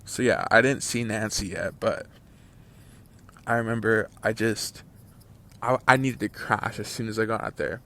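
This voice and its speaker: A man's voice: fairly low pitch, fairly even intonation, measured pace, long pauses, fairly bright tone. A man in his twenties casually telling a long story, rambling with many fillers and restarts. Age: 20s. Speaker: channel host telling a story. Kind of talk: casual story time over gameplay.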